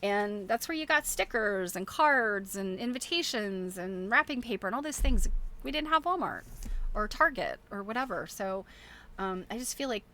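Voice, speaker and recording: A woman's voice: mid-range voice, very animated, normal speed, bright, forceful tone, a little hiss or hum, low-quality recording. A middle-aged woman chatting casually as she shows things to viewers, thinking aloud in a rambling, chuckly way. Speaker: host of a quilting show. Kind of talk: casual show-and-tell chat.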